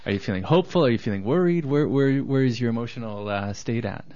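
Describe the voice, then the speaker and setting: A man's voice: mid-range voice, fast talker, warm tone. A man hosting a radio interview, asking friendly, probing questions. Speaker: radio show host. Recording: dull lo-fi radio recording.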